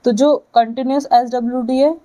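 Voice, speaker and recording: A woman with an Indian accent lecturing to students, explaining and dictating key points in halting, deliberate phrases with frequent check-ins. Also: high-pitched, steady tone, dark tone. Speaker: physiotherapy lecturer.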